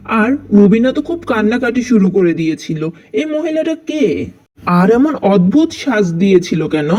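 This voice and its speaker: Man voicing a high-pitched bold young boy. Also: big pitch swings, moderate pace, a little mellow, lo-fi sound. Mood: curious, unsettled. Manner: defiant, matter-of-fact. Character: bold, curious young boy who defies a ghost. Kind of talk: children's cartoon acting.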